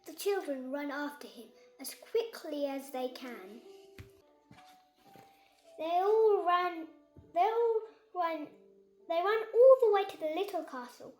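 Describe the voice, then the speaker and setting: A woman's voice: very high-pitched, animated, slow pace, pauses often, darkish tone, soft background music. A young girl, about six, reading a children's story aloud with careful, sometimes stumbling delivery. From Kerala, India. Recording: echoey room.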